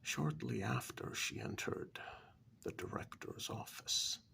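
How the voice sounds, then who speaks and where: Slow, half-whispering man with heavy creak. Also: fairly low pitch, big pitch swings, pushed and bright, slight background noise. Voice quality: breathy, half-whispered, gravelly. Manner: slow, deliberate, pausing between short phrases. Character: asylum director calmly recounting eerie past events. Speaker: narrator telling a horror tale in first person. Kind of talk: soft-spoken ASMR story role-play.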